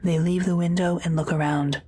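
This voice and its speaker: A woman's voice: on the low side, fairly even intonation, moderate pace, warm tone, breathy edge. A woman reading scripted present-tense narration for an audio drama, as the narrator.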